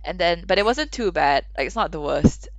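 A woman's voice: on the low side, lively intonation, fairly quick, no echo. A young woman from Malaysia co-hosting a podcast, chatting casually in rambling bursts that trail off. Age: young adult. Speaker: podcast co-host. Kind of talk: casual chat. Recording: dry, dull lo-fi sound.

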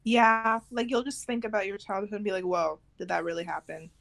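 A woman's voice: medium pitch, a little fast, creaky, fairly bright tone. A young woman chatting casually as a podcast co-host, in an informal, loosely phrased way. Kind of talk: casual chat.

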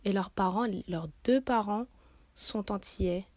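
A woman's voice: mid-range voice, measured pace, a little mellow, dry recording. A young woman hosting a podcast interview, asking long, earnest questions with frequent fillers. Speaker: podcast host.

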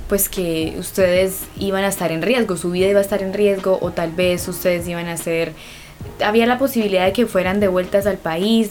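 A woman host asks probing questions in an interview and answers with soft murmurs of assent. Her voice has a middle pitch for a woman. Faint music sits beneath her voice. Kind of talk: podcast interview.

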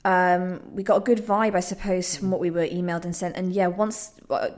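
A woman's voice: on the low side, level tone, a little fast, darkish tone. A woman speaking casually and reflectively as an interviewed playwright, with some hesitation. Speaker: playwright and trained actor interviewed.

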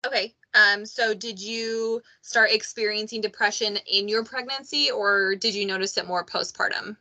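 A woman hosts a podcast, speaking candidly and with plenty of animation. Her voice has a bright, forceful edge, and her pitch sits in the middle. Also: fairly even intonation, normal speed. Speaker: podcast host. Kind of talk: casual conversation.

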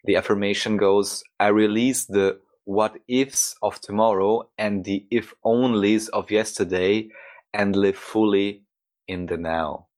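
A man's voice: on the low side, steady tone, measured pace, a little mellow. A man co-hosting a podcast, delivering scripted introductions and readings aloud. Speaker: podcast co-host.

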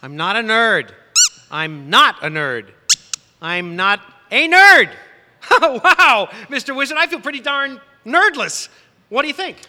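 A man's voice: very high-pitched, big pitch swings, unhurried, pushed and bright, full character voice. An actor playing an eager, naive, nerdy boy in comic stage radio theatre, gushing with wide-eyed delivery. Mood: giddy. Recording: live theatre hall with long reverb.

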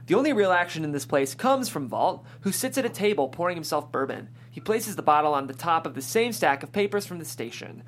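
A man's voice: fairly high pitch, expressive, a little fast, few pauses, fairly bright tone. A man reading stage directions as scripted narration at a comedy table read. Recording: clear background noise and hum.

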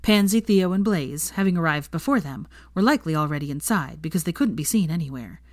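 Low-voiced woman reading a story. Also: expressive, brisk, darkish tone, no room sound, clean studio sound. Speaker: fan fiction reader. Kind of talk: scripted story narration.

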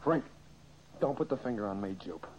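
An actor playing a surly, distrustful male gangster who talks in gruff slang in an old-time radio drama. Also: mid-range voice, expressive, normal speed, a little mellow, close to an everyday voice. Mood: scornful, accusing. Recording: muffled, noisy old radio recording.

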